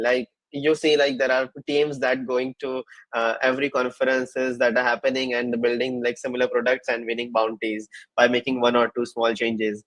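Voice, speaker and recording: A male host in a relaxed, conversational interview. He speaks at a medium pitch and feels his way through each sentence, pausing often on filler words as he builds his point. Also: poor audio. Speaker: host of a developer livestream session. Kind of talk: casual interview chat.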